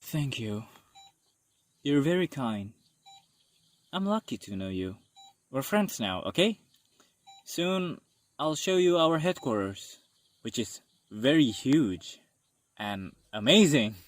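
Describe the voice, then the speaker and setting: A man's voice: mid-range voice, very animated, slow, long pauses. A man with an Indonesian accent playing an earnest young protector from a secret order in an amateur radio drama. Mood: friendly. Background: loud music.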